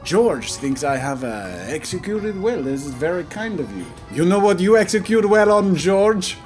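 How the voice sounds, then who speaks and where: High-pitched man, mock French accent, theatrical pompous painter persona, lively swooping delivery, game commentary, music behind. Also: moderate pace. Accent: put-on French. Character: earnest, self-important French painter, male. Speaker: video game streamer. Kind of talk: playful in-character gameplay commentary.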